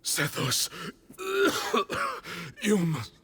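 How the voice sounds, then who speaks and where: A man's voice: on the high side, expressive, extremely slow, a bit bright, full character voice. A man voicing an ailing, zealous old temple leader in a video game, grave and theatrical, with music behind. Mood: straining. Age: older. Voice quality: husky, frail.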